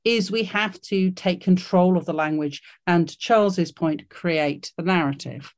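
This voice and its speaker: A woman's voice: on the low side, measured pace. A woman hosting an online careers workshop over a video call, encouraging and full of fillers and restarts. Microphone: video call mic.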